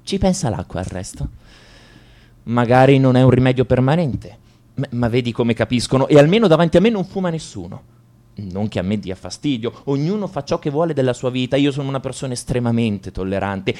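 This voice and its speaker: An actor playing an aggressive, self-righteous bully in a radio play monologue, speaking with emphatic, matter-of-fact menace. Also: male voice, mid-range voice, animated, brisk, darkish tone, lightly acted. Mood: smug menace.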